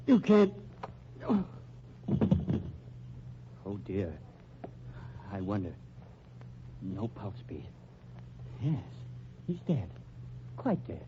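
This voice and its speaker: Casting: meek, stammering suspect husband, mellow but very swoopy voice, old radio mystery style. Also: male voice, medium pitch. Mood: shaken, dazed. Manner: hesitant, stammering, fussy. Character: timid, flustered husband, jailed murder suspect. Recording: dull, noisy old radio recording.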